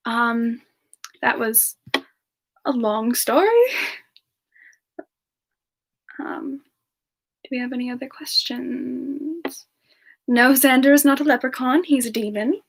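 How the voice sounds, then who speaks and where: A woman acting as a gentle demon woman with a fairly high voice. She speaks very slowly and softly, pausing hesitantly as she answers questions in a semi-ASMR roleplay. Her manner is romantic and sweet. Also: steady tone, gravelly, full character voice, poor audio. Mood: amused. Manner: soft-spoken, hesitant, often chuckling. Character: gentle, romantic female demon reborn from a past life. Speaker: streamer answering viewer questions in character.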